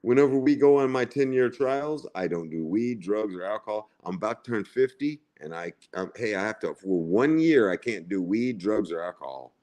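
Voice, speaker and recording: A man's voice: lowish voice, expressive, moderate pace, hardly any pauses, a little mellow. A man in his forties, chatting casually on a livestream and rambling and repeating himself. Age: 40s. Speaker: livestream co-host.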